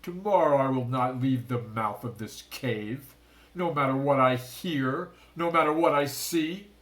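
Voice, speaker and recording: A man voicing a greedy, raging male shark king in a storybook reading, with drawn-out shouts and hissed threats. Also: close to an everyday voice. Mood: gloating. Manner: booming, drawn-out shouts and menacing whispers.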